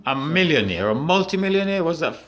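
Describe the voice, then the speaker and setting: A man's voice: a little high, big pitch swings, brisk, crowd noise. A man interviewing a guest, chatty and leading, often stumbling over his words. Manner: leading, chatty, stammering restarts.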